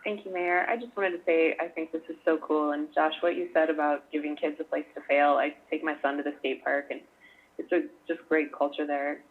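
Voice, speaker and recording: Female councilor, lower register, meeting delivery, narrow telephone-like sound. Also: fairly even intonation, a little fast. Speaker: city councilor. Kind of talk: council meeting remarks and motion. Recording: thin phone-like remote meeting line.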